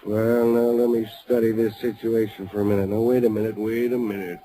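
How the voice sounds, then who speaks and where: A man's voice: lowish voice, little pitch movement, fairly quick, mellow, a little hiss or hum, lightly acted. A man narrating as a homespun old-west gold miner with frontier grammar, in a vintage radio drama. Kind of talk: 1940s radio acting.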